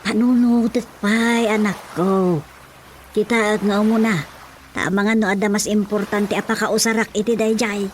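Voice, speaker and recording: A woman's voice: medium pitch, steady tone, normal speed, close to an everyday voice. An actress with a Filipino Ilocano accent playing a practical, cautious mother in a radio drama. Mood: firm, advising. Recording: music behind the voice.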